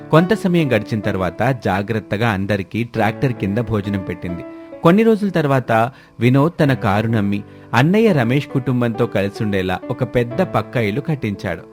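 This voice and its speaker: A man narrating a scripted moral story as the storyteller. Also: mid-range voice. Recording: clear rain and engine noise behind.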